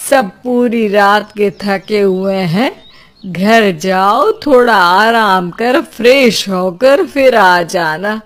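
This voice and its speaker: A woman voicing a sceptical, level-headed young man who reasons with others in an animated story. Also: mid-range voice. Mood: practical.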